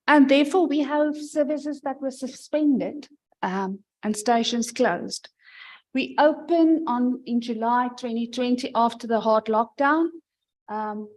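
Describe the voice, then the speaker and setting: A middle-aged woman from South Africa giving a conference presentation in a loud, emphatic voice. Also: fairly high pitch, poor audio.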